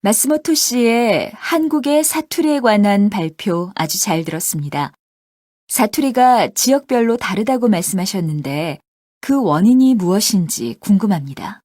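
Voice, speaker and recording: Lively, polite woman in a textbook dialogue. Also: medium pitch, moderate pace, no echo, clean studio sound. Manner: polite, careful, formal questioning. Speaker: textbook audio voice actor. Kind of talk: scripted language-lesson reading.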